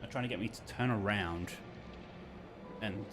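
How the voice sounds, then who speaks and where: A man with a fairly low voice plays a story game and narrates it aloud. He shifts between reading and his own remarks, with game music clearly playing behind him. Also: moderate pace. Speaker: streamer reading a visual novel aloud. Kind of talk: game playthrough commentary and dramatic read-aloud. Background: video game music.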